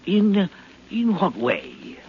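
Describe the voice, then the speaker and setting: An actor playing a stolid police sergeant, questioning in a measured, official way in 1940s radio style. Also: male voice, high voice, huge pitch swings, heavily acted. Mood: quizzical. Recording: muffled, noisy 1940s radio recording.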